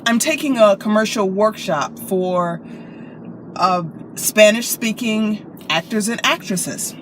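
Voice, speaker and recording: An American woman vlogs casually from inside a car, with clear vehicle noise around her. Her voice sits at an average pitch, and she tells stories in a playful, expressive, animated way. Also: slow pace, poor audio. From Chicago, United States. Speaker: vlogger and actress. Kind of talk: casual chatty vlog.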